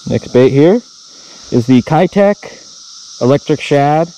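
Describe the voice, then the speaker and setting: A man casually narrating his own fishing trip while wading a river, chatty and easygoing, with sudden bursts of excitement. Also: a little high, slow, a little hiss or hum, poor audio. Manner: laid-back, chatty, bursts of excitement at catches. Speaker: fishing vlogger. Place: outdoors wading a river tributary. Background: faint crickets and insects outdoors.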